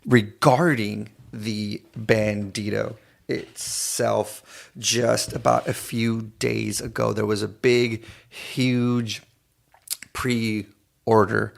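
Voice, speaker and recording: A man from East Los Angeles hosting a radio show in a laid-back, halting way. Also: fairly low pitch, flat delivery, creaky. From East Los Angeles, United States. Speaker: radio DJ and host.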